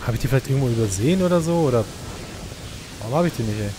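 A man's voice: mid-range voice, lively intonation, racing. Man grumbling through a game, noisy backdrop. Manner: sarcastic, grumbling asides. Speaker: let's play host. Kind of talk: casual gameplay commentary, muttering to himself. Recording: clear game noise behind the voice.

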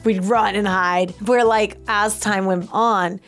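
Mid-pitched woman storyteller over faint music. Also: slow. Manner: animated storyteller, acts out quotes, frequent "like". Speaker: co-host of a reaction video. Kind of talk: casual anecdotal chat.

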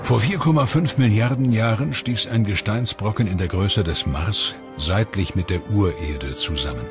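A man's voice: lowish voice, normal speed. A man reading scripted narration for a science documentary. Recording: music under the voice. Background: background music.

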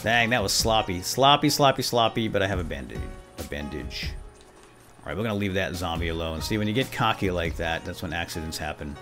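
A man's voice: low-pitched, fairly quick, over music. A man hosting a video game let's play, jokey and playful, chatting to viewers and to himself as he plays. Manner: animated, jokey, talks to viewers and himself, exclaims. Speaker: host of a gaming let's play series.

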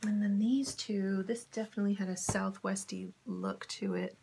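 A woman casually showing fabric in her home sewing studio, her voice crackly from a cold, often dropping to a soft whisper. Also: mid-range voice, fairly even intonation, moderate pace, creaky. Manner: soft-spoken, drifts into whispers when admiring fabric. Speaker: sewing vlogger. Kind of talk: casual fabric haul chat.

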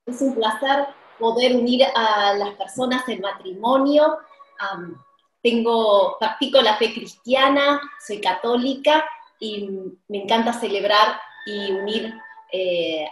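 A woman's voice: a little high, slight background noise. Argentine woman on a roomy video call. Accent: Argentine Spanish. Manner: warm, earnest, frequent "eh" pauses. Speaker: wedding officiant. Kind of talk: promotional video call chat. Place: indoor room with tiled wall, flowers and candle. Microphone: video call webcam.

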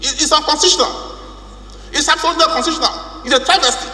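A man's voice: very high-pitched, racing, sharp tone. A man with a Nigerian accent giving a formal, emphatic speech as a lawmaker, repeating phrases for weight. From Rivers State, Nigeria. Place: large indoor legislative chamber. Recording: echoey hall with hum.

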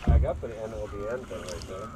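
A man chatting casually with his fishing buddy on a boat at night, relaxed and swearing now and then. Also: quick pace. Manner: laid-back, swearing, low-key. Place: small boat on the water at night. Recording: heavy water and engine noise.

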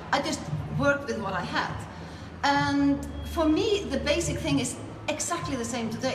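Middle-aged woman, Icelandic accent, interview guest, very echoey indoor room, noticeable background noise. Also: high-pitched. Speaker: network marketer being interviewed. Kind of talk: conversational interview, telling own story.

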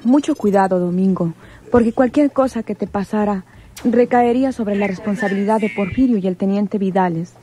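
A woman's voice: mid-range voice, normal speed, warm tone, lightly acted. An actress playing a stern, cautioning woman in a radio drama.

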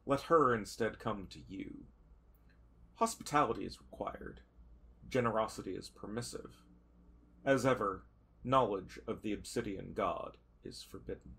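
A cryptic male prophet of a dark god, played by a man at a game table, speaks low and husky. He is formal and terse, handing down oracles in a gravelly tone. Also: a little slow, heavily acted. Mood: solemn.

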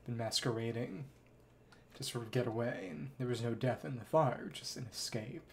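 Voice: mid-range voice, moderate pace, gravelly, slight background noise. A game streamer giving casual commentary, thinking aloud and reading bits of dialogue in fragments. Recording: game music behind the voice. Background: video game music.

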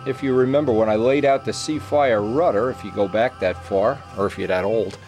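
A man's voice: lowish voice, fairly quick, a little mellow, soft background music. A middle-aged man giving an informal hands-on workshop tutorial, thinking aloud in short, explanatory phrases. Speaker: model airplane builder giving a tutorial. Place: indoor workbench.

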